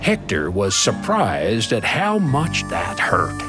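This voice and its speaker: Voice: mid-range voice, huge pitch swings, slow pace. A narrator telling a Western story in storybook style. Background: music.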